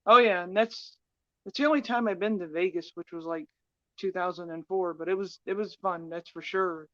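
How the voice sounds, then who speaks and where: A woman in her forties telling personal stories casually, in a halting, hesitant way. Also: lowish voice, fairly even intonation, no room sound, highs cut off. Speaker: stream panelist.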